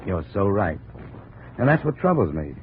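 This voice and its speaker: Hard-boiled, sardonic male investigator, lowish voice, brisk and probing, on scratchy narrow-band 1950s radio. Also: sing-song. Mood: tough, pointed. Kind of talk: 1950s radio detective acting and narration.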